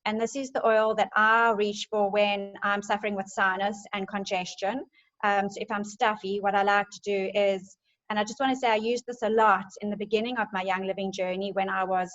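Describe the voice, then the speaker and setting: A woman talks through her topic in a chatty, enthusiastic manner, full of little ums. Her pitch stays fairly level and even. The sound is dull and lo-fi, like an online call. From South Africa. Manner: chatty, enthusiastic, many fillers. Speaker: essential oils presenter. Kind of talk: casual group presentation.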